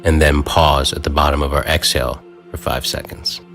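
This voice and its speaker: Deep-voiced man guiding a slow, soft meditation over faint new-age music. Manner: calm, soft, unhurried instructions with long pauses. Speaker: meditation guide. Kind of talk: guided meditation.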